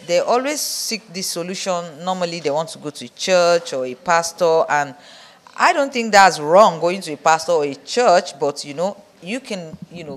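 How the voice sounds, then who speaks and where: A person giving an earnest, emphatic, unscripted interview at an event. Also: mid-range voice. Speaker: audience interviewee.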